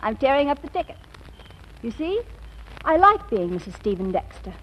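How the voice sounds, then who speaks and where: An actress playing a spirited, teasing young wife with an arch, sardonic manner in 1940s radio style. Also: female voice, high voice, sing-song, moderate pace. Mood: wryly defiant. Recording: muffled, noisy vintage radio recording.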